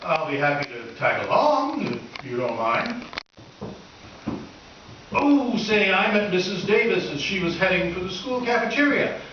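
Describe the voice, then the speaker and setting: A man's voice: high voice, sing-song, measured pace, fairly bright tone, full character voice. A man playing a mild, polite, naive schoolteacher, earnest and guileless, in an old-time radio sitcom style. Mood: friendly, mild. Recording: echoey live hall, distant mic, dull, hissy.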